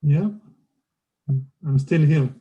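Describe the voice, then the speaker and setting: Man, Israeli accent, hesitant, slow, monotone, video call. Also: fairly high pitch, husky, lo-fi sound. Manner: hesitant, searching for words. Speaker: panelist. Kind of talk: personal account on a panel.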